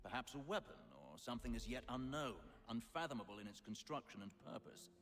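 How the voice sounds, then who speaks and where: A man's voice: medium pitch, expressive, fairly bright tone, lightly acted. Courtly, scheming order leader with swooping delivery, man acting. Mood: grand, intrigued. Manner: grandiloquent, deliberate, formal. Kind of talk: video game cutscene acting.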